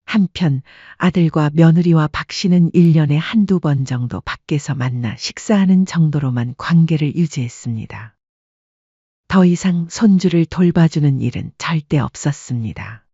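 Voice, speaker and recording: Husky dark-voiced woman narrator. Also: sits low, moderate pace, no room sound, highs cut off. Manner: calm, even storytelling. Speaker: storyteller. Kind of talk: scripted audiobook narration.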